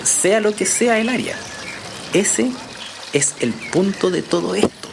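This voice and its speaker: Easygoing Chilean journalist, ambient noise. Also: male voice, sits high, animated, takes it slowly, gravelly, full character voice. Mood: earnest. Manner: casual, hesitant, explanatory. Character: easygoing male journalist, hesitant but convinced researcher. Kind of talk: naturalistic audio drama acting.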